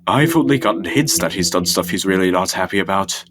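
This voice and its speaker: A man playing a gentle, anxious, grieving father king in a comic dub, hesitant and soft-hearted with a folksy way of talking. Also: sits low, expressive, a little fast. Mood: uneasy, pleading. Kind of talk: dramatic voice acting in a comic dub. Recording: prominent music under the voice.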